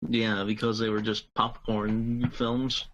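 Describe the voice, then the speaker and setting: A man's voice: lowish voice, flat delivery, slow pace, fairly bright tone, lo-fi sound, a little hiss or hum. A man speaking as a panelist in a casual group discussion. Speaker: podcast panelist.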